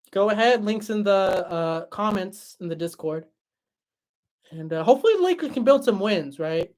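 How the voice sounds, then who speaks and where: A young man hosting a livestream and answering chat in a relaxed, chatty way, his voice tired after hours of talking. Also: high voice. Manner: relaxed, chatty, many fillers and restarts. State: voice tired after a long stream. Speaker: livestream host reading chat.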